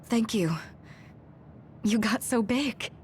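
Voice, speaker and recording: An actress playing a gentle, serious mother in a video game cutscene. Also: fairly high pitch, takes it slowly. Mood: tender. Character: gentle, grave mother reunited with her grown son. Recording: music behind the voice.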